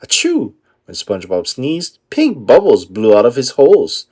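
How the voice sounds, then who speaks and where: A man narrating a children's picture book aloud in an expressive storytelling style. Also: medium pitch, moderate pace.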